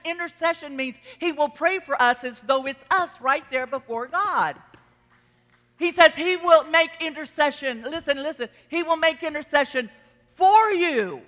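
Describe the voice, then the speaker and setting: A woman preacher with a Texan accent delivers a sermon in a high, bright voice. She is emphatic, repeating phrases and putting questions to her congregation. The sound carries the long reverb of a large hall. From Texas.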